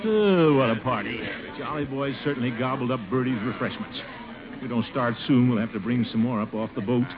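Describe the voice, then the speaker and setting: An actor playing a pompous, flirtatious, middle-aged commissioner in a 1950s radio comedy, theatrical and given to chuckling. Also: male voice, mid-range voice, fairly quick, crowd noise, lightly acted. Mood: grumbling, scheming. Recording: muffled old radio recording with music.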